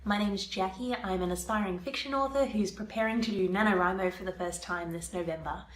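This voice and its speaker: A woman's voice: mid-range voice, fairly quick, reverberant, a little hiss or hum, rough recording. A young woman with a stuffy head cold, chatting casually to camera about planning her novel. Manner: chatty, explains her plans step by step. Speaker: vlogger, aspiring fiction author. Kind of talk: casual vlog talk to camera.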